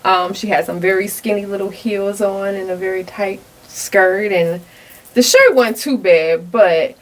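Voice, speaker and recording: A woman co-hosting a casual podcast, blunt and animated, with a high-pitched voice that often breaks into laughs. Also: measured pace, poor audio. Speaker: podcast co-host. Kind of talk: casual chat.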